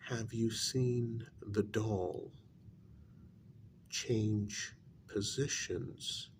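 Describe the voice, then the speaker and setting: A man playing a grave, reluctant spiritualist in a soft-spoken ASMR role-play, talking softly and deliberately with long hesitant pauses. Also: a little hiss or hum. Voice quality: soft, low, creaky voice, breathy in places. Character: grave, reluctant male spiritualist breaking news to a client.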